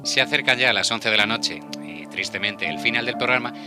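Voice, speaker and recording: A man's voice: mid-range voice, a little fast, over music. A man with a Spain accent hosting a radio show in a casual, chatty style. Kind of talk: casual panel chat and hosting.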